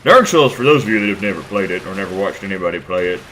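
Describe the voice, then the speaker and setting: A man's voice: sits low, lively intonation, racing, bright, forceful tone, a little hiss or hum, poor audio. A man commenting casually on his gameplay, rambling, crude and self-deprecating, a bit stuffed up from a cold. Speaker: let's play host.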